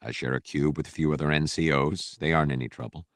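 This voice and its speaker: A middle-aged sergeant, acted by a male narrator, speaks in a very low, gruff voice. He is laconic and easygoing, an audiobook character recorded dry and close. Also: steady tone, quick pace, no room sound. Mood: matter-of-fact.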